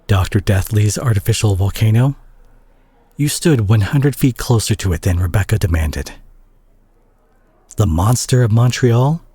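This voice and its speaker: A man playing a concerned, exasperated superhero scolding a reckless teammate in a dramatic audio roleplay, husky and breathy, with halting, emphatic pauses. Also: lowish voice, warm tone, dry recording. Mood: pained, worried.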